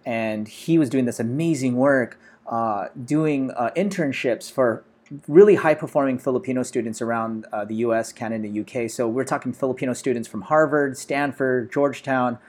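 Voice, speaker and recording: American man in his forties, casual interview guest, relaxed storyteller, lots of fillers. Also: mid-range voice, moderate pace. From Los Angeles, USA. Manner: relaxed, many fillers like "you know" and "uh". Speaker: guest, startup founder.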